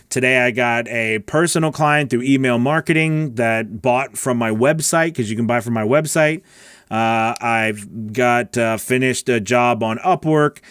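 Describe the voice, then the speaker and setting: A man's voice: mid-range voice, a bit bright, clean tone, dry recording. American man chatting, close dry studio sound. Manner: chatty, informal, thinking aloud. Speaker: host, voice-over coach. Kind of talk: casual livestream Q&A, reading and answering viewer questions. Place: small home recording studio. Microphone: large studio microphone on a boom arm, close.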